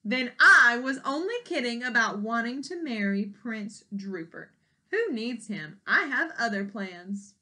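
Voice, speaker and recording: A woman's voice: a little high, animated, unhurried, pushed and bright, exaggerated voice. A woman voicing a plucky, exasperated young princess in a children's storybook, protesting with emphatic, raised delivery. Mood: defiant.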